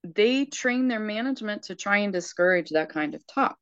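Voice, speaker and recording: A woman's voice: mid-range voice, expressive, normal speed, fairly bright tone, no room sound, muffled recording. A sixty-year-old woman speaking earnestly and emphatically in casual conversation, often restarting her sentences. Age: 60s.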